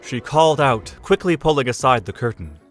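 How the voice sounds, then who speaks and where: A man narrating an audio drama in an expressive storytelling manner. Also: mid-range voice, brisk, soft background music.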